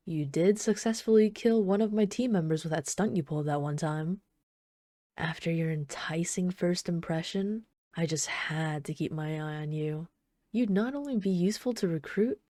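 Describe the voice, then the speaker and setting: A woman playing an obsessive, flirtatious villain in an intimate ASMR roleplay, speaking softly and unhurriedly with teasing, casual menace. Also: lowish voice, no echo, clean studio sound, natural voice. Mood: casual, smug. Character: obsessive yandere villain, flirtatious bomber calmly toying with trapped hero.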